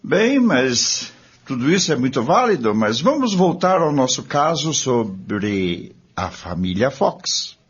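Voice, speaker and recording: A man with a Brazilian accent playing a narrator in a scripted radio drama, recounting spiritist history to companions in a husky voice. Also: mid-range voice, very animated, slow pace, heavily acted. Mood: conversational, explanatory. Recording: dull, lo-fi audio.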